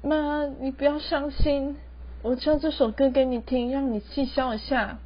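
A spoiled but meek young Taiwanese daughter-in-law from the city , played by a young woman, whining and pleading. Her voice is high. The amateur radio play has dull, lo-fi sound. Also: little pitch movement, normal speed, close to an everyday voice. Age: young adult. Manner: whiny, sprinkles English words into speech. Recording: dull, lo-fi recording.

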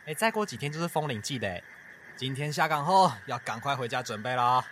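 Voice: mid-range voice, lively intonation, brisk, fairly bright tone, slight background noise, natural voice. An actor playing a dutiful, devout young temple guard in an audio drama, chatty and earnest. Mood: chatty, reverent. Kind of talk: Mandarin audio drama acting.

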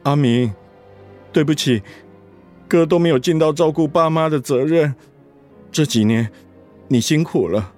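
A man's voice: a little high, measured pace, long pauses, darkish tone, soft background music, lightly acted. A man acting in a radio drama as a remorseful, conflicted grown son, earnest and pleading in manner. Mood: subdued remorse.

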